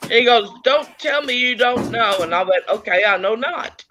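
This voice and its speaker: A woman chatting on a live stream, telling a lively story. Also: a little high, normal speed, a little hiss or hum. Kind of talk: casual live-stream chat.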